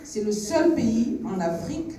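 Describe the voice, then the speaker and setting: A woman's voice: a little high, big pitch swings, measured pace, mellow, slight background noise. A Cameroonian woman co-hosting a film club discussion, speaking to a young audience in an engaging, didactic way with questions to the listeners. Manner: engaging, asks questions, switches between French and English. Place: screening hall with audience. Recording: very echoey hall, distant from microphone.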